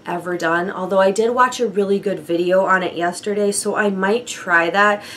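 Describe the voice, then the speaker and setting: A young woman with a froggy, hoarse voice from a chest cold, chatting casually through a beauty product update with light laughs and fillers. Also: mid-range voice, normal speed, a bit bright, slight background noise. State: hoarse from bronchitis. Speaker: beauty vlogger.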